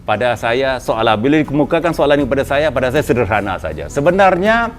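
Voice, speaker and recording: A man with a Malay accent hosts a relaxed motivational talk about business to a small group indoors. His voice is mid-pitched and conversational, with frequent fillers and emphatic upward leaps. Faint music plays behind him. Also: fast talker. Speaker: host.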